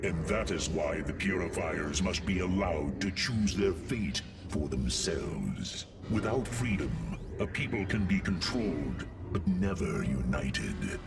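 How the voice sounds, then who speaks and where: An actor playing a proud, solemn alien robot warrior in a video game cutscene, speaking gravely and formally with a deep, gravelly voice. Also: male voice, big pitch swings, exaggerated voice. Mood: grave, earnest. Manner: grave, formal, deliberate speech. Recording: distant voice under clear music.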